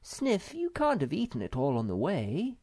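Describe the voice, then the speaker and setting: A man voicing a motherly female troll in a storybook, gentle, anxious and given to sighing. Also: medium pitch, huge pitch swings, a little slow, creaky, pitched above the performer's own voice, exaggerated voice. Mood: fretful.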